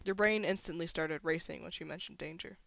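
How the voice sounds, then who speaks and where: A woman's voice: sits low, a little fast, vocal fry, fairly bright tone. A woman reading a fan fiction story aloud as scripted narration, on a slightly dull recording.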